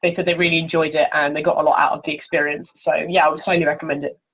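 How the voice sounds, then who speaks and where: A woman's voice: low voice, level tone, rapid-fire, slightly rough. A woman giving informal, encouraging interview answers as a conference chair over the telephone. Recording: narrow, tinny telephone line.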